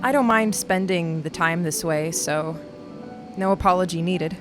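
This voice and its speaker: An actress playing a young, curious alien passenger in an audio drama, speaking plainly and earnestly. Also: female voice, on the low side, a little slow, over music, lightly acted. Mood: sincere, accepting.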